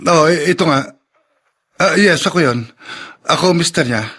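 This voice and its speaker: A man's voice: on the high side, normal speed, bright, forceful tone, husky. A man playing an earnest, anxious young husband and father in a Filipino radio drama. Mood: shocked.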